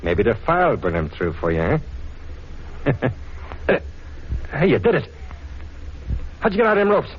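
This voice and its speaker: A man's voice: mid-range voice, wildly swooping pitch, a little slow, pauses often, breathy edge, heavily acted. A man playing a smug, menacing gangster with a tough, slangy, taunting delivery in a 1940s radio drama. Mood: gloating, hurried. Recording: dull, noisy 1940s radio recording.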